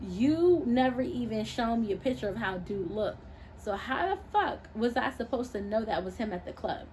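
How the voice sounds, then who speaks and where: A woman's voice: a little high, expressive, moderate pace, a little mellow, a little hiss or hum, natural voice. A woman voicing a brash, sassy, unapologetic young woman in storybook dialogue, sarcastic, profane and slangy. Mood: irritated. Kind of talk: expressive audiobook-style character dialogue.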